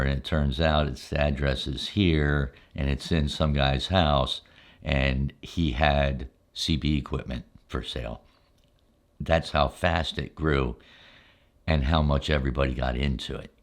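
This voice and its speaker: Very deep-voiced older man, ASMR storytelling. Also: fairly even intonation, a little slow. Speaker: ASMR storyteller, channel host. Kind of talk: relaxed ASMR reminiscing, unscripted storytelling.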